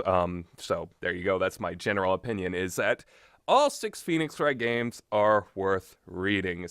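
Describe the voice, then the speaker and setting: A man's voice: lowish voice, fairly bright tone, clear, resonant tone, no room sound, clean studio sound. A man giving casual, rambling commentary with strong opinions and an emphatic way of talking. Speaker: video host.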